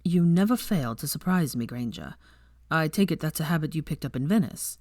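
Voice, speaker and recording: A woman's voice: sits low, expressive, a little fast, dark tone, no room sound. A woman voicing a cool, smirking young man with a dry, understated delivery in a story reading. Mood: wry.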